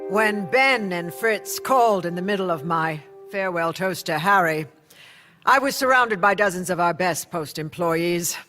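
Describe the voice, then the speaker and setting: Bright, poised woman publisher. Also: fairly low pitch, normal speed, soft background music. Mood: reflective, candid. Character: poised middle-aged woman newspaper publisher, candid and resolute. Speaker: narrator and newspaper publisher character. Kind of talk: reflective scripted radio drama narration.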